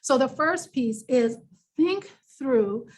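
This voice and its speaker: A woman with a New York accent coaches a workshop. Her voice sits fairly high and has a slightly husky edge. She explains things conversationally and encouragingly, stressing the words that matter. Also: lively intonation, takes it slowly. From New York, United States. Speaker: workshop presenter, business coach.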